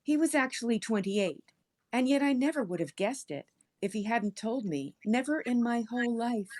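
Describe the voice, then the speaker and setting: A woman voicing a doting, eerily cozy middle-aged landlady in a story, coaxing and gushing with drawn-out words. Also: fairly high pitch, lively intonation, darkish tone. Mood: gushing, creepy.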